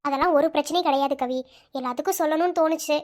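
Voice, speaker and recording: A woman voicing an evasive young husband who keeps brushing off questions, in a lowered voice. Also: rapid-fire, darkish tone, lightly acted. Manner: dismissive, brushing things off.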